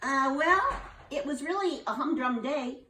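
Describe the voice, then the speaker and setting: A woman's voice: sits high, lively intonation, unhurried, pushed and bright, recorded from a distance, slight background noise, pitched above the performer's own voice, exaggerated voice. A woman voicing a chirpy young schoolgirl with a breathless, sing-song manner. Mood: nervous. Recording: roomy, from off the mic.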